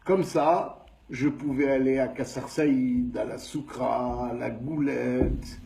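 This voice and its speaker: A man in his sixties with a Tunisian French accent, telling his life story slowly, stressing each step. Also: mid-range voice, creaky. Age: 60s. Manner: slow, measured recounting with lively emphasis. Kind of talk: personal storytelling monologue.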